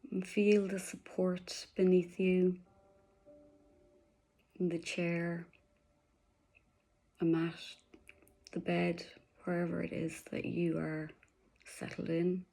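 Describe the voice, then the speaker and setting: Slow, gravelly-voiced woman guiding meditation. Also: lowish voice, level tone. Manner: slow, soft-spoken and soothing, drifting into breathy whispers. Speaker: meditation guide. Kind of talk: guided meditation.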